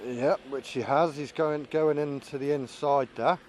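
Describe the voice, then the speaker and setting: A man's voice: medium pitch, fairly even intonation, a little slow, a little mellow, slight background noise. A man giving casual, musing running commentary as a vlogger flying a drone, thinking aloud as he goes. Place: outdoors.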